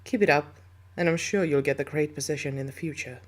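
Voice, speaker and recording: A commanding alien officer, played by a man in an audio roleplay. The voice sits somewhat high for a male and speaks in a formal, clipped, official way. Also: fairly quick, natural voice. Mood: approving.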